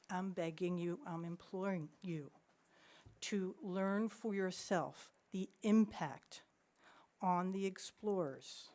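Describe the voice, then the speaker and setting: A woman giving an unscripted public comment at a school board meeting, earnest and pleading, with halting restarts. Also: on the low side, darkish tone.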